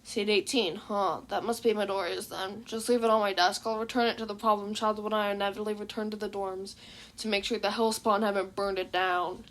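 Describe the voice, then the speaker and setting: A woman voicing a tired, grumpy male teacher in a fan fiction reading, with a curt, deadpan delivery. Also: mid-range voice, brisk, pitched below the performer's own voice, natural voice. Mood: weary, irritated. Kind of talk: fan fiction audiobook character voice.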